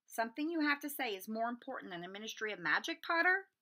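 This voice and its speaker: Woman acting out strict schoolmistress, curt, authoritative, sharp bright tone, emphatic rises and falls, storybook character. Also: a little high, sing-song, quick pace. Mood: cold, curt.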